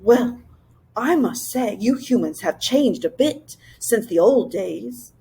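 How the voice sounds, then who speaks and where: A woman's voice: fairly high pitch, expressive, slow pace, gravelly, dark tone, heavily acted. A woman voicing a regal, chatty old moose-like creature king with a lisp for a children's storybook. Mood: cheerful, nonchalant. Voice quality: lisping. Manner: nonchalant, chatty, slightly haughty. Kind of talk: children's storybook read-aloud.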